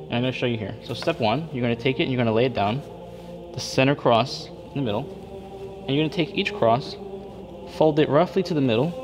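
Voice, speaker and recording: A man in a church calmly demonstrating how to fold and lay out vestments, repeating steps and checking in with "okay?". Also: medium pitch, brisk. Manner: patient, step-by-step, repeats himself with frequent "okay?" checks. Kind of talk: hands-on instructional demonstration. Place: church interior at a table. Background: background music.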